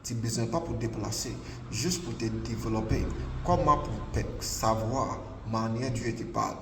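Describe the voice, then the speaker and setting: A man's voice: medium pitch, moderate pace, background noise. A man from Ghana with a Ghanaian accent and English as his first language, preaching an emphatic, repetitive sermon. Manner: emphatic, repetitive, filler "là" everywhere. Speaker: prophet preacher. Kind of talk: online sermon. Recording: echoey hall, distant mic, faint ambience.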